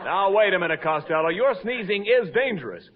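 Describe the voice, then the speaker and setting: Animated high-voiced old radio announcer man. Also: a little fast, heavy background noise. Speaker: radio show announcer and sidekick. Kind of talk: 1940s radio announcing and comic banter. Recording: muffled old radio broadcast with music.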